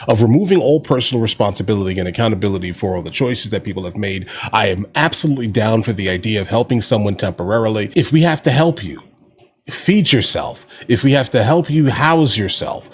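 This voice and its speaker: A man with a fairly deep voice hosts a reaction video. He talks casually and conversationally, explaining his own views as he goes. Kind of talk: casual opinion commentary.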